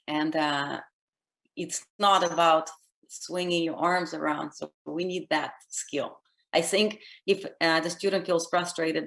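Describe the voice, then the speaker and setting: A woman with a deep, low voice, speaking English as a later language. She explains things earnestly and step by step, checking in with "right?" as she goes. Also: creaky. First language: Russian. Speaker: dance instructor, guest. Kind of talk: conversational interview answer.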